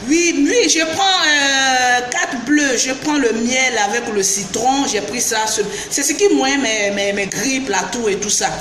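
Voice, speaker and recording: A woman with an Ivorian French accent, down with the flu, chatting on a live stream, greeting viewers by name and breaking into shouts. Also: sits high, measured pace, hardly any pauses. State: has the flu. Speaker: beauty vlogger live-streaming. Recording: echoey room with background noise.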